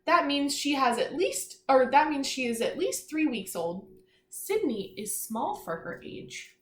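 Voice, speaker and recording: A woman teacher reading aloud to young children, pausing to explain simply, ask questions and encourage her listeners. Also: high-pitched, big pitch swings. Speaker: elementary school teacher. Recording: echoey room, faint background noise.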